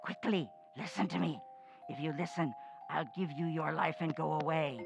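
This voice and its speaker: An actress playing a sinister undead old woman in an old-time radio horror play, with a commanding, menacing manner and a gravelly, husky croak. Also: sits low, normal speed, over music. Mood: urgent, commanding.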